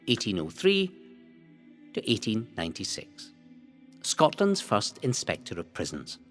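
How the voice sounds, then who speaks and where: A male narrator reads scripted history in a formal, measured delivery. His mid-pitched voice moves through lively rises and falls, giving each phrase dramatic weight. Music plays softly behind him.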